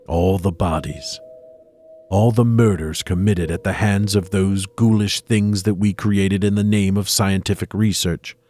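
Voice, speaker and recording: A man playing a haunted, appalled doctor in a horror audio drama, speaking gravely and dramatically. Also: lowish voice, darkish tone, natural voice. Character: haunted, horrified male doctor, reluctant lab assistant.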